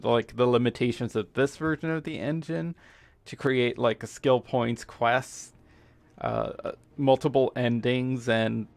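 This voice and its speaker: US gamer, Spanish first language, gravelly, mid-pitched; rambling let's-play chat over quiet game music. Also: male voice, dry recording. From United States. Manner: halting, full of fillers and restarts. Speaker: gamer streaming a playthrough. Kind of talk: casual let's-play commentary.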